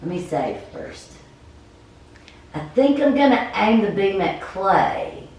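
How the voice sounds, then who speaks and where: A woman's voice: mid-range voice, big pitch swings, takes it slowly, darkish tone. A woman doing a cold read of a story game aloud, stumbling over words and adding casual comments. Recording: very echoey room, distant mic, faint noise.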